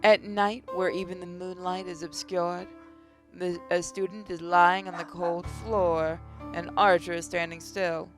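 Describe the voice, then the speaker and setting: A gaming narrator reads story text aloud with care and weight. The intonation stays fairly flat, and game music plays softly behind the voice. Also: mid-range voice, a little slow. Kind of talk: reading visual novel narration aloud.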